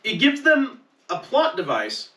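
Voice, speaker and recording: A man's voice: high voice, wildly swooping pitch, unhurried, pushed and bright. A man on a podcast panel, bantering casually with slangy, profane talk. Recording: roomy echo, distant mic.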